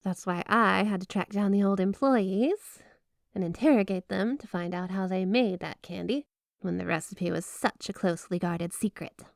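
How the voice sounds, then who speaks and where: A woman's voice: mid-range voice, normal speed, vocal fry, no echo, clean studio sound, natural voice. A woman playing a flirty, obsessive yandere wife in an ASMR roleplay, gushing and coy with a possessive edge under the sweetness. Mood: smugly menacing. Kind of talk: ASMR roleplay, addressing the listener as spouse. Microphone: close, dry microphone.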